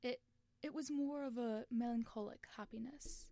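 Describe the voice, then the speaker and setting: A woman playing a regretful, defensive man in a story, melancholic and pleading, halting and earnest. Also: on the high side, steady tone, gravelly, dark tone, dry recording.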